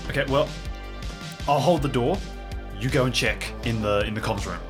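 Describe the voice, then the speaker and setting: A man's voice: mid-range voice, normal speed. A man playing in an improvised audio drama game, describing and acting out what his character does. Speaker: player in an audio drama roleplay. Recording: clear music behind the voice. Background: music.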